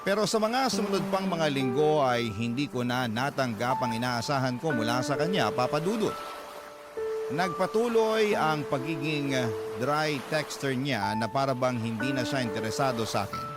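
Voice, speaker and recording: A man's voice: medium pitch, normal speed, natural voice. A man with a Filipino accent playing a hurt, wistful letter writer narrating a love story in a radio drama. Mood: hurt, reflective. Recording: loud music under studio narration.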